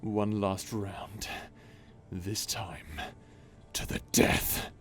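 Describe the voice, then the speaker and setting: A man playing a sinister, taunting space pirate villain in an audio roleplay, talking slowly with menace and mockery. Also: lowish voice, fairly even intonation. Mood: menacing, taunting. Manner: slow, menacing, mocking. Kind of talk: ASMR audio roleplay drama.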